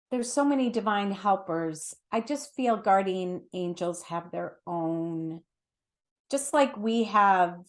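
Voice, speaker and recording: A woman's voice: lowish voice, darkish tone. A middle-aged woman giving conversational spiritual teaching as an interview guest, earnest and emphatic, explaining with everyday examples.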